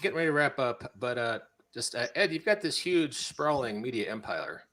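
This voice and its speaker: A man with a bright voice of middling pitch hosts a podcast. He interviews in a relaxed, conversational style. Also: moderate pace. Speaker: podcast host. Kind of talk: casual interviewing.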